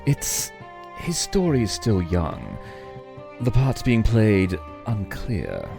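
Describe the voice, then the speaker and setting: An actor playing a grave immortal guardian bound to duty in an audio drama, speaking formally and solemnly. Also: male voice, lowish voice, wildly swooping pitch, takes it slowly, creaky, over music, full character voice.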